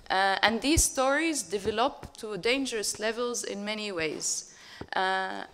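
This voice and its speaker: A woman's voice: medium pitch, bright, forceful tone. A woman from Syria giving an earnest talk in English at a panel event, with frequent ums and uhs. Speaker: panel speaker. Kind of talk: public talk presentation.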